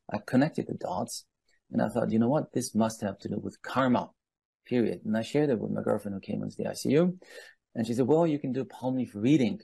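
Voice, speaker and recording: A man in his fifties from Iran speaking as a guest, telling personal stories and explaining in a deliberate, emphatic manner with rhetorical asides. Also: fairly low pitch, gravelly, dark tone, slightly rough, dry recording. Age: 50s. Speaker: guest speaker on palm leaf reading.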